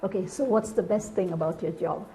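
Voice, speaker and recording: A woman's voice: medium pitch, brisk, mellow, faint music behind, low-quality recording. A woman asking questions as an interviewer.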